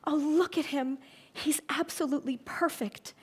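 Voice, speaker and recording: A woman's voice: high voice, fairly even intonation, moderate pace, voice pushed up, close to an everyday voice. Woman reading as an emotional young storybook farm girl. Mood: awed, tender. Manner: emphatic, emotional.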